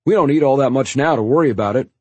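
A man voicing a mocking, sharp-tongued teenage boy who jeers at his brother in an audiobook story. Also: medium pitch, very fast, husky, no echo, voice pushed up, lightly acted. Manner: jeering, retorting.

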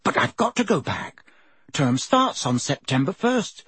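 A man's voice: a little high, big pitch swings, measured pace, a bit bright, husky, no room sound, heavily acted. A man voicing an earnest, worried teenage boy wizard in an audiobook. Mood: urgent. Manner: earnest, urgent pleading. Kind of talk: audiobook character voice.

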